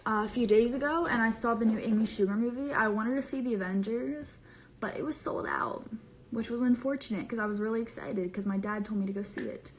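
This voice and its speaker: A bubbly young woman talks into her phone from her bedroom, rambling playfully through viewer comments. Her voice sits a bit high and stays light and slangy. It is loose, unscripted livestream chatter picked up by a phone held at arm's length. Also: a little fast, slight background noise, rough recording. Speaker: social media creator on a live stream. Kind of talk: casual livestream chat reading comments. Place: bedroom, small indoor room. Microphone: phone camera at arm's length.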